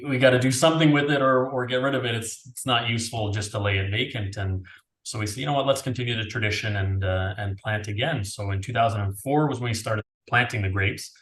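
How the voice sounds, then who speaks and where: A man's voice: mid-range voice, fast, fairly bright tone. A Canadian man in his thirties giving casual, chatty explanations as an interview guest on a video call. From Niagara region, Canada. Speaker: winery owner being interviewed. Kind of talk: conversational interview answers. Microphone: video call, earphones. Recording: roomy, echoey video call audio.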